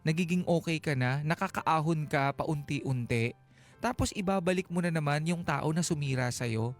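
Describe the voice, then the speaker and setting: A man's voice: a little high, moderate pace. A man with a Filipino accent co-hosting a radio advice show, talking casually in emphatic, playful Taglish with frequent rhetorical tags, in a studio. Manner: emphatic, playful, Taglish code-switching, rhetorical tag questions. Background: background music.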